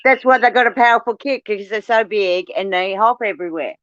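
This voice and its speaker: An Australian woman in casual chat, blunt and quick to swear as she tells her stories. The sound is dry and close, and a bit dull. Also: mid-range voice, dry recording. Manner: blunt, swears freely, animated storytelling.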